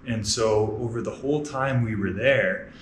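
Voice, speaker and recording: Guest gym owner in his 30s, low-ish voice, echoey large indoor gym, faint hum behind. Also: normal speed. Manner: relaxed, matter-of-fact, with frequent fillers. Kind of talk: casual storytelling on a walking tour.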